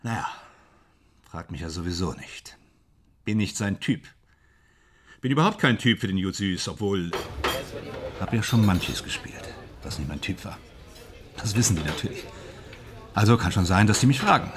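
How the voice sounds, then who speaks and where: A man's voice: low voice, animated, moderate pace, pauses often, background noise. An actor playing a proud, touchy stage actor in a radio drama, boastful and emphatic in his delivery. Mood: brooding, proud. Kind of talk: naturalistic radio drama dialogue.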